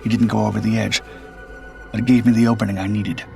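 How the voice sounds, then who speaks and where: A man narrating a scripted first-person suspense story in a measured, grave storytelling manner. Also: lowish voice, little pitch movement, gravelly, darkish tone. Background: background music.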